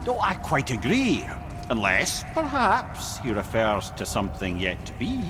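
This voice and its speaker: Animated, witty old storyteller. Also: male voice, medium pitch, a little slow, music in the background. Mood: wry. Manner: theatrical, wry, lyrical storytelling. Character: witty, worldly old male storyteller sage. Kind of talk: dramatic game storytelling.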